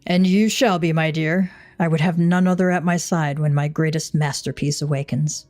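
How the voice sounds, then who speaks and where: A woman's voice: low voice, fairly even intonation, normal speed, a little mellow, faint music behind, natural voice. A woman voicing an anxious, devoted inventor husband in a video game, speaking in a formal, wordy, self-doubting way. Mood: proud, tender.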